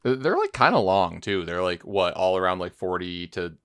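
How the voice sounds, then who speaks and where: A man's voice: low-pitched, sing-song, normal speed, fairly bright tone, clear, resonant tone. A man co-hosting a gaming podcast, talking informally and jokingly in a casual chat. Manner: informal, jokey. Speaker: podcast co-host. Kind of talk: casual chat about a video game.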